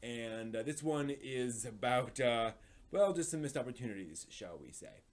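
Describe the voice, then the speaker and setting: A man's voice: mid-range voice, expressive, moderate pace. A man hosting a livestream, talking casually with a hesitant, rambling way of explaining things. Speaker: livestream host.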